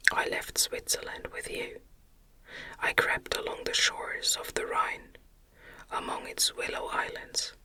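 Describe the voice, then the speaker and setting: A narrator voicing a wretched, vengeful male monster from a gothic story in a smothered, breathy ASMR whisper, with menacing, grandiose, archaic speech. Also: close to an everyday voice. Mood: bitter, aggrieved.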